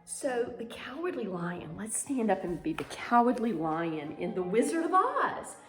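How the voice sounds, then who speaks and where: A woman's voice: on the high side, very animated, measured pace. A woman teaching a pantomime and ballet lesson on video, demonstrating as she talks with dramatic emphasis. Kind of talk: instructional video dance lesson. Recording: echoey room with music.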